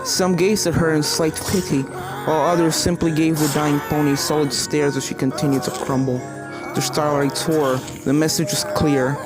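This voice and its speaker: A woman's voice: sits low, steady tone, normal speed, over loud music, rough recording. A woman reading fan fiction aloud as scripted story narration.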